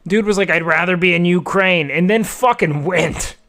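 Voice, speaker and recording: A man's voice: high voice, moderate pace, fairly bright tone. A man streaming live, reacting to videos with blunt, profane asides.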